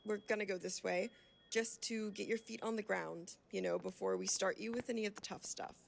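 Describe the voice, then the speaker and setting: A friendly staffer at a clinic in an audio drama. Her voice sits at a medium pitch with a creaky edge. She speaks in a polished, reassuring, conversational way. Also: steady tone, fairly quick, a little hiss or hum. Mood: welcoming, upbeat. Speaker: staff member welcoming a patient. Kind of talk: radio drama acting, conversational.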